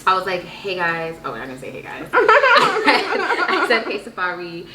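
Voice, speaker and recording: A woman in her twenties chatting casually and telling stories in a playful, animated, slangy way. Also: medium pitch, unhurried. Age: 20s. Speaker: vlog guest telling stories with sibling. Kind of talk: casual chat, storytelling. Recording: echoey room with background noise.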